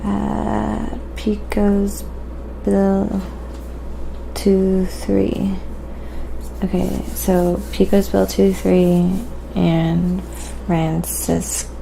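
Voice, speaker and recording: Gravelly-voiced woman chatting on a livestream. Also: medium pitch, slight background noise, poor audio. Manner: relaxed, halting, thinking aloud. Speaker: livestream host and painter. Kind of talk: casual livestream chat while painting, reading viewer comments.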